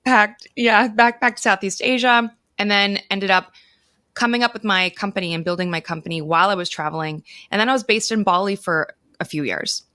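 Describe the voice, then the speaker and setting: A woman's voice: mid-range voice, fairly quick, bright, forceful tone, no room sound, clean studio sound. An American woman speaking as a podcast guest, telling her own story in a casual way. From United States. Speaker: podcast guest, travel guide founder.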